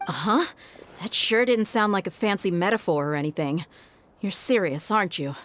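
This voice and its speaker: A woman's voice: mid-range voice, moderate pace, a bit bright, slight background noise, lightly acted. A woman playing a tough, wry young female mercenary in a video game, casual, dry and straightforward. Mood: dry, skeptical.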